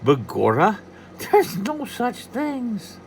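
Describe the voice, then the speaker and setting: A storyteller voicing a small, angry male leprechaun who is touchy and proud, speaking slowly and indignantly. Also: medium pitch, huge pitch swings, slight background noise, voice pushed up, heavily acted. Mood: scoffing. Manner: indignant, slow and deliberate.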